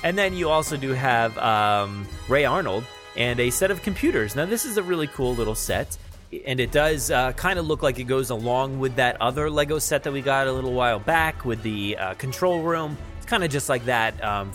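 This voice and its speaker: A man hosting a podcast, chatting casually through news in a halting, think-aloud way. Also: medium pitch, a bit bright, soft background music. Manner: halting, thinking aloud while describing what he sees. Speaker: podcast host. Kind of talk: casual unscripted news chat.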